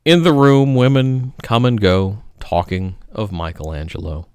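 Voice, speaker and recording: Slow, theatrical man reciting poetry. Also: lowish voice, fairly even intonation, clear, resonant tone, no echo, clean studio sound. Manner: theatrical, with dramatic pauses and emphatic swells falling to hushed line endings. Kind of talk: melodramatic poetry reading.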